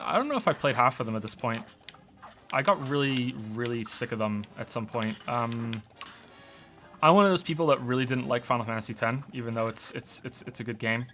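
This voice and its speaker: A man's voice: medium pitch, a bit bright. A man in his thirties, born in Wales, whose accent is mostly Canadian with some Welsh traces, chatting casually and rambling while he plays on a stream. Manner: rambling, stammering restarts, answers chat questions while playing. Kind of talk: casual gaming stream chat.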